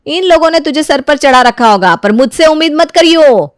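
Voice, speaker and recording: A woman's voice: high voice, animated, moderate pace, no echo, clean studio sound, voice pushed up. A woman playing a hot-tempered, spoiled young daughter-in-law in an audio story, scolding and imperious. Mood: angry, imperious.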